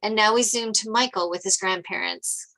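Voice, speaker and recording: A woman's voice: medium pitch, normal speed, creaky, pushed and bright, rough recording. A woman narrating stage directions from a screenplay at a table read.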